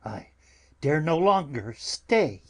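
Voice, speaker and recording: Anxious, husky, very slow-spoken friar played by a man. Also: a little high, big pitch swings, heavily acted. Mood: urgent pleading. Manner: slow, halting, lamenting delivery. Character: anxious, grieving elderly male friar. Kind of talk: theatrical Shakespearean audiobook acting.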